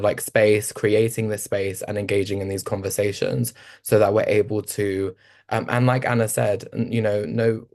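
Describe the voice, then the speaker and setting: A man's voice: on the low side, darkish tone. A man speaking on a panel, talking through history with many fillers and restarts. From Albanian family from Kosovo. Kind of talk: panel discussion talk.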